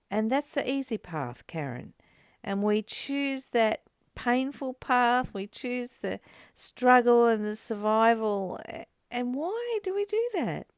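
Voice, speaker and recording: A woman's voice: mid-range voice, darkish tone, clear, resonant tone, no echo, clean studio sound. A woman hosting a spiritual study, reading and commenting in an earnest, contemplative, affirming manner.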